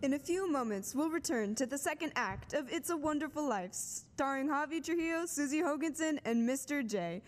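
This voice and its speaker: A woman's voice: high voice, expressive, normal speed, few pauses. A woman playing a gracious radio show announcer, reading scripted links. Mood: gracious, presentational. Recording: reverberant auditorium, music behind.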